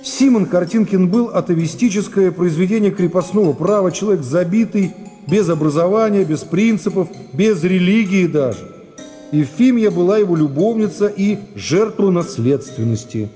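An actor playing a self-satisfied, dim-witted prosecutor who orates with drawn-out words and affected pauses in a radio play. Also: male voice, sits high, animated, mellow, faint music behind. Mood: pompous. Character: pompous, self-satisfied, dim-witted male assistant prosecutor orating in court.

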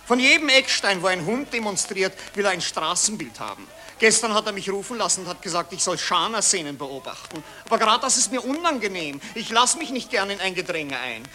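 Casting: a prim, complaining newsman, very bright and lively, high. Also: fairly quick, hardly any pauses, soft background music, heavily acted. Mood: fussy. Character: fussy male journalist, reluctant and vain. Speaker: newspaper reporter. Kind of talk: radio drama acting.

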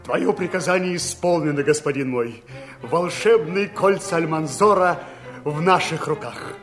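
Pirate's henchman, male actor: servile, crafty, high-pitched, formal radio fairy-tale speech. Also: a little slow, soft background music, natural voice. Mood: servile, sly. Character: sly, servile pirate henchman, no longer young.

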